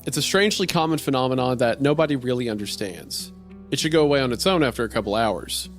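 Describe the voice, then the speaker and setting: A man's voice: mid-range voice, animated, fast. A man narrating a strange first-person horror-comedy story in a wry, conversational way. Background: faint music.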